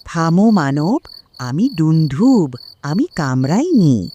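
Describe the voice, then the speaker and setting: A woman voicing a talking serpent, once a holy man, solemn and measured, in an animated story. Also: sits low, slightly rough, full character voice. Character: talking serpent, a cursed former Brahmin, calm and helpful.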